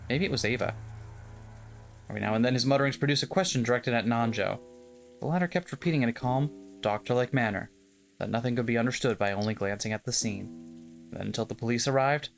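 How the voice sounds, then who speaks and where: A streamer reading a visual novel's text aloud in a steady voice, breaking off for wry jokes. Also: medium pitch. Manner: wry, jokey asides between steady reading.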